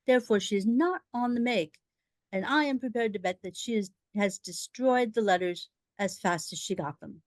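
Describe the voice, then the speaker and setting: A shifty gentleman fixer, played by a woman in a radio play. He speaks with oily, formal politeness at a middling pitch. The sound is close and dry. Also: normal speed, dry recording, natural voice. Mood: scheming. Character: shifty, ingratiating gentleman fixer running a delicate errand.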